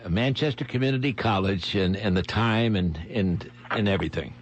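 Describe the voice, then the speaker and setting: A male talk radio host with a fairly low voice interviews a guest. He speaks haltingly and repeats phrases for emphasis, with some hum and noise in the recording. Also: muffled recording. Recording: clear background noise and hum.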